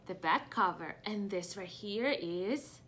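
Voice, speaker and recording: Slow, animated young woman reading to preschoolers. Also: mid-range voice. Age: young adult. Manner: questioning, pausing for answers, expressive. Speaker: preschool teacher. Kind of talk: interactive picture-book read-aloud for young children. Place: small home room indoors.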